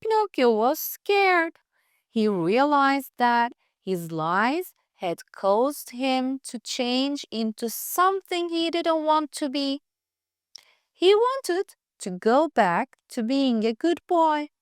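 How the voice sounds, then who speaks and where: A woman reading a scripted children's fairytale aloud for English learners, with careful, deliberate phrasing. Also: sits high, big pitch swings, dry recording, clean studio sound. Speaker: storyteller.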